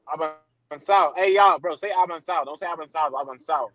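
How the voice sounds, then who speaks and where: A man's voice: a little high, normal speed, fairly bright tone, highs cut off. A man in casual group chat, brash and teasing, who keeps calling everyone bro. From Dominican Republic. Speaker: participant.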